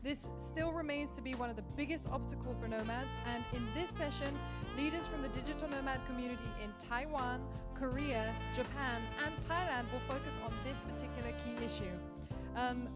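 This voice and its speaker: High-voiced emcee over loud music. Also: female voice. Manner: polite, with um fillers. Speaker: event emcee. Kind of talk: event announcement.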